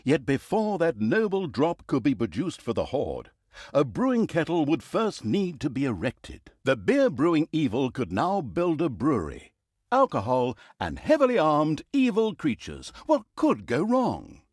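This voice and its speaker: A man's voice: fairly high pitch, huge pitch swings, measured pace, darkish tone, no room sound, studio quality, heavily acted. A man playing a sarcastic, easily exasperated fantasy storyteller in a video game, with theatrical, mock-grandiose comic narration. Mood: wry narrating. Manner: wry, mock-grandiose, emphatic.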